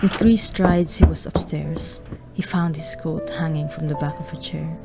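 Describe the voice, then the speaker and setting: A woman's voice: sits low, steady tone, moderate pace, vocal fry, loud music behind the voice. A woman narrating a radio drama, reading a scripted story.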